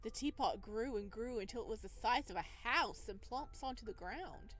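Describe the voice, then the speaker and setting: A woman reading a visual novel aloud and commenting on it in a gushing, excitable way. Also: on the high side, normal speed. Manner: gushing, excitable over cute things. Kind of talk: dramatic read-aloud with excited playthrough commentary. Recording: background music behind voice.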